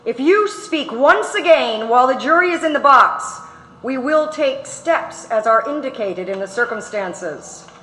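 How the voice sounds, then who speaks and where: A woman's voice: high-pitched, lively intonation, unhurried, fairly bright tone. A woman playing a stern, curt male trial judge in a staged courtroom reading in an echoey hall. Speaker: reader in staged documentary. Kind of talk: dramatic reading of court transcript. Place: large auditorium.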